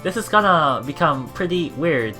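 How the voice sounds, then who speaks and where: A man hosting a let's play, reading game text aloud and adding casual, halting commentary with repeated words and exclamations. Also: a little high, animated, bright, forceful tone. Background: game music.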